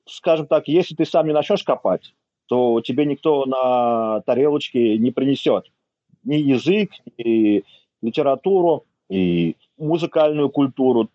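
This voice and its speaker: A man's voice: medium pitch, measured pace, mellow. A man talking casually as a podcast guest about learning a language, telling his story as he thinks aloud. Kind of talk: casual interview talk. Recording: dull lo-fi recording.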